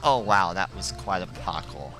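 A man's voice: deep voice, expressive, normal speed. A man giving casual gaming commentary as one player in a group race video. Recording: game music behind the voice. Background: video game music.

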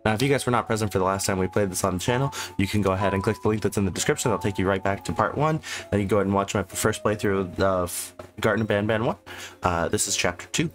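A sick, hoarse man casually commenting on a game he plays, rambling and sarcastic as he reads the game text aloud. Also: fairly low pitch, fast, faint music behind. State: sick, losing his voice. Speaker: gameplay commentator. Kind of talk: casual let's-play commentary.

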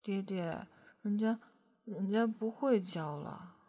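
一位女演员在广播喜剧中饰演爱撒娇、害羞黏人的阴柔少年。. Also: female voice, medium pitch, takes it slowly, warm tone. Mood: coy whining. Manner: cutesy, whiny, bashful. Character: coy, simpering, effeminate young male host, clingy. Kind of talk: Chinese audio comedy drama acting.

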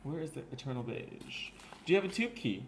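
A man's voice: on the high side, big pitch swings, fairly quick, background noise. A man running a live nail product demonstration, chatting while explaining each step. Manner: chatty, explains steps while reading viewer comments. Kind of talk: live demo with chat.

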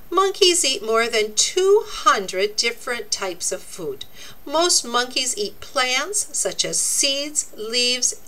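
A woman reads a children's nonfiction book at an unhurried pace. Her fairly high voice swings widely in pitch, and she phrases things deliberately, like a teacher. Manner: deliberate, expressive teacherly reading. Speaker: narrator reading a children's book aloud. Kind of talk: read-aloud children's nonfiction. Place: indoors, book laid open before a camera.

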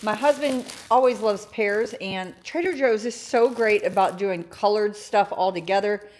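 A woman's voice: mid-range voice, a little slow, darkish tone, a little hiss or hum. A young woman casually chatting about her grocery haul at home, enthusiastic, with lots of filler words like "like" and "um.". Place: home kitchen counter.

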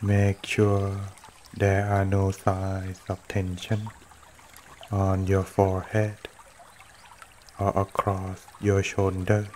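Male meditation guide, low-pitched and extremely slow, gentle short phrases with long pauses, trickling stream behind. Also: completely flat delivery, slight background noise. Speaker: meditation teacher. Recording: running water throughout. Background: trickling stream water.

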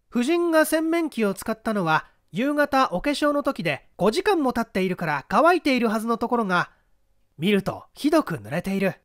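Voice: mid-range voice, no echo, studio quality, close to an everyday voice. A narrator voicing a clever schoolboy detective, explaining a crime with methodical assurance in an audiobook reading.

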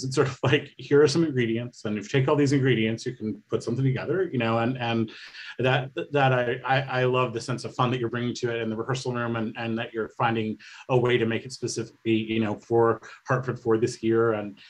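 A man's voice: mid-range voice, steady tone, fast talker, breathy edge. Easygoing, rambling middle-aged man. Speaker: playwright, panel guest. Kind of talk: casual conversational answer. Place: indoors, on a video call. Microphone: video call microphone.

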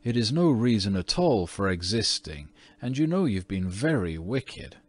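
A man's voice: on the low side, very animated, moderate pace. A man voicing a prim, spirited young American girl in a storybook, earnest and scolding. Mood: stern. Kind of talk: storybook character reading.

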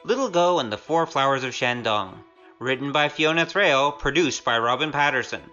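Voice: medium pitch, animated, moderate pace, pushed and bright, lo-fi sound, soft background music. A podcast narrator reading scripted end credits for an audio drama.

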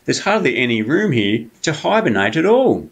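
A man voicing a sneaky, cunning male fox who coaxes and flatters in a smooth sales patter. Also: medium pitch, normal speed. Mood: coaxing.

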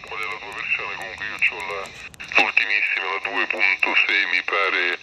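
A man's voice: deep voice, flat delivery, moderate pace. A man, a ham radio operator giving brusque technical advice over a receiver. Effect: heard over a radio receiver. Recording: loud static over a radio.

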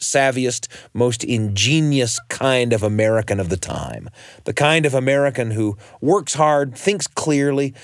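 A man's voice: mid-range voice, dry recording, clean studio sound. A man hosting a radio show with scripted narration, leaning on words for emphasis.

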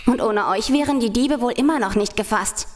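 Give this voice a high pitch. It is a resourceful young girl detective who takes the lead , acted by a woman in a children's radio play. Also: fairly quick, noisy background, close to an everyday voice. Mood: relaxed, chatty. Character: Lina, resourceful girl detective taking charge.

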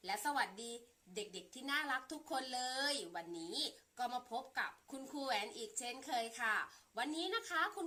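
A woman's voice: sits high, sharp tone. A young woman who works as a kindergarten teacher tells a folk tale to small children in a sing-song, exaggerated storybook way.

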